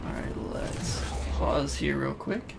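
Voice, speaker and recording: A man's voice: mid-range voice, slow pace, creaky. A man giving casual, informal let's play commentary, rambling a little and thinking aloud as he explains things. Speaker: gaming video host. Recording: noisy voice-over over game ambience. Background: video game sounds and music.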